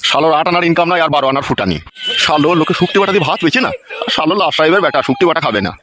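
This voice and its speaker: A man voicing a gruff, threatening older father in a comedy cartoon, crude and blustering. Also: a little high, racing, noisy background, natural voice. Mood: scolding. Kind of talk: Bengali comedy cartoon dub.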